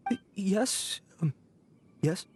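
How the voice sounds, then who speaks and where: A man's voice: sits high, lively intonation, extremely slow, slight background noise, heavily acted. An actor playing a cowardly male bandit who blusters, pleads and stammers in an English video game dub. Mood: sheepish, pleading. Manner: hesitant, stammering, wheedling.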